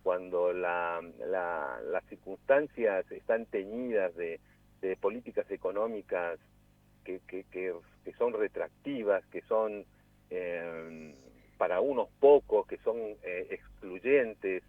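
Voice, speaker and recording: Low-voiced man on a tinny phone line. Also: takes it slowly, no room sound. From Peru, raised in Cusco. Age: around 60. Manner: reflective, searches for words with many repeats. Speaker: guest actor. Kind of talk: radio interview by phone.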